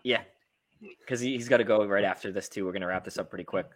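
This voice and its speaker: A man's voice: on the low side, quick pace, a crowd in the background. A man hosting a casual football fan podcast, talking through the game in an informal, conversational way. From United States. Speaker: sports podcast host.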